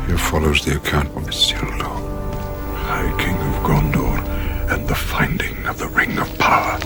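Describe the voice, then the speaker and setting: An actor voicing an ancient king's account in a breathy, hushed, possessive dramatic reading. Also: rumbling low. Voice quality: breathy, whispery. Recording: loud music over the voice.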